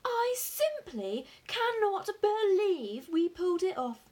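A woman voicing a jubilant, boastful father in a storybook, excited and grandly emphatic as key words are drawn out. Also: fairly high pitch, a little slow, pitched above the performer's own voice, exaggerated voice. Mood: elated disbelief. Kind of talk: acted audiobook dialogue.

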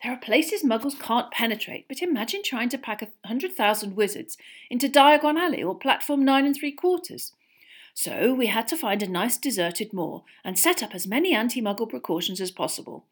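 Mild middle-aged wizard father, acted by a woman, earnest, patient, explanatory, slightly hesitant, animated storybook delivery. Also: fairly high pitch, fairly quick, pitched above the performer's own voice. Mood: explaining. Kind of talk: acted audiobook dialogue.

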